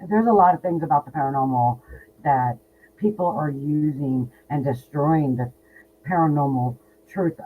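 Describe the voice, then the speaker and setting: A woman's voice: low voice, normal speed. A woman in her fifties, a psychic medium guest, talking bluntly and outspokenly in a casual interview, often repeating words. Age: 50s. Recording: tinny phone line with faint noise.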